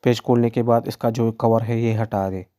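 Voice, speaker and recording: A man with a mid-pitched, mellow voice narrates a how-to tutorial. His intonation stays very even as he gives plain instructions one step at a time. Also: normal speed. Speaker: phone repair tutorial presenter.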